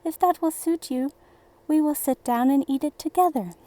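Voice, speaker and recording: A woman's voice: high voice, normal speed, dark tone, no room sound, voice pushed up, close to an everyday voice. A woman voicing an eager, kind-hearted young lad in a storybook, speaking earnestly. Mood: friendly, offering.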